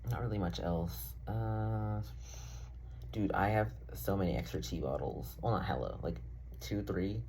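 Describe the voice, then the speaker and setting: A man's voice: low voice, a little slow, darkish tone, slight background noise. A young man rambling casually in a diary-style vlog, full of fillers, swearing and self-deprecating asides. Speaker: vlogger.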